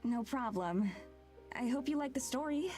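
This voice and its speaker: An actress playing an earnest, shy young woman in a visual novel, speaking with a hesitant, gentle manner. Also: high-pitched, animated, moderate pace. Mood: gentle, bashful. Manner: hesitant, soft-hearted. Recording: background music behind the voice.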